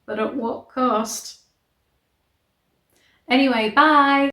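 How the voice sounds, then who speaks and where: Slow-paced woman, wry, in an echoey room. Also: sits high, steady tone, sharp tone. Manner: wry, sarcastic, sweary. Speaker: host reading a book aloud and reacting. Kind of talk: read-aloud storytelling with casual vlog commentary.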